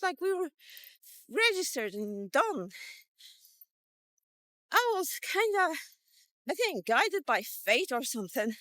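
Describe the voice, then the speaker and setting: A woman's voice: a little high, fairly bright tone, no room sound. A woman in her fifties rambling through a casual vlog rant in a sarcastic, sweary, conversational way. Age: 50s. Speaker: vlogger. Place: outdoors on a walk.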